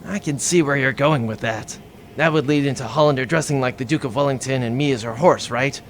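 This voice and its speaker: A man's voice: medium pitch, brisk, a little hiss or hum, close to an everyday voice. A man playing a loyal, earnest spaceship second-in-command in a comedic audio drama. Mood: eager.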